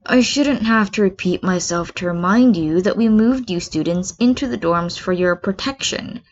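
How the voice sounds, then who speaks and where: A woman's voice: mid-range voice, normal speed, a little hiss or hum, natural voice. A woman reading the part of a stern, weary male schoolteacher in a story. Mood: stern warning. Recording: dull lo-fi recording.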